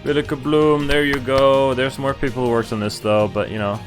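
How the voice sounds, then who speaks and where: A man's voice: medium pitch, little pitch movement, moderate pace. Wry male game streamer over music. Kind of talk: casual live gaming stream commentary. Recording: music playing behind the voice. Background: video game music.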